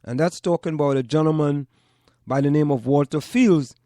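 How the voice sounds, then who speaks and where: A radio host from Trinidad and Tobago with a somewhat high-pitched male voice. He delivers his monologue in measured, short phrases broken by pauses. The sound is close and dry, with no room echo. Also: dark tone. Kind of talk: call-in radio talk monologue.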